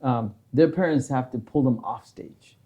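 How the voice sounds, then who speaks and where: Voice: medium pitch, measured pace, narrow, tinny audio. A talk show host asking questions casually, often stumbling and restarting mid-sentence. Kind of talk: casual interview hosting.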